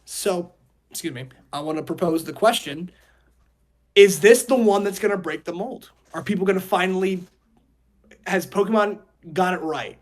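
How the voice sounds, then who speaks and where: A man's voice: high-pitched, moderate pace. A man hosting a casual podcast discussion, rambling and repeating phrases as he poses questions. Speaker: podcast host.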